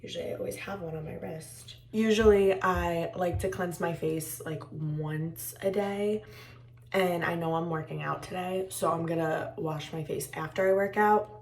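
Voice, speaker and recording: A young woman with a raspy, tired voice, chatting casually to her viewers in a home vlog. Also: fairly low pitch, steady tone, normal speed, darkish tone, echoey room, far from the mic, a little hiss or hum. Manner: chatty, rambling, talks to viewers as friends. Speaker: vlogger. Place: indoors at home.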